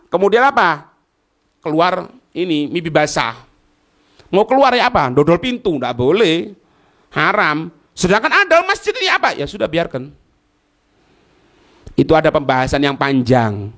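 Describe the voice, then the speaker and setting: A man's voice: fairly high pitch, very animated, unhurried, pauses often, a bit bright. A man with an East Javanese accent giving an informal religious lecture as an Islamic teacher, explaining point by point and asking and answering his own questions. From East Java, Indonesia. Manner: asks and answers own questions, checks listeners understand.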